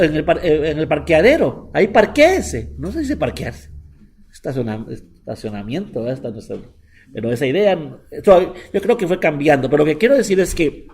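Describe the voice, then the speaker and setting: Chatty middle-aged rabbi, slightly high voice. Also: male voice, darkish tone. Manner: chatty, repetitive, mixes English words into Spanish. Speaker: rabbi teaching a class. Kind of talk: informal Bible lesson.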